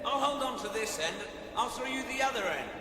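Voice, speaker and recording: A man's voice: very high voice, expressive, brisk, very noisy. An actor, a man, playing an insistent space traveller in a radio comedy, in echoing reverb. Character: insistent, high-strung male space traveller. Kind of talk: radio comedy drama acting. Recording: long echoing reverb, music behind.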